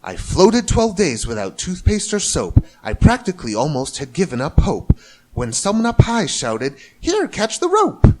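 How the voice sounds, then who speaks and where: A man with a slightly high voice reads a rhyming storybook aloud. His delivery is theatrical and rhythmic, with very lively swings in pitch. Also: normal speed, rough recording. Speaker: amateur storybook reader. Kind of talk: expressive rhyming story narration.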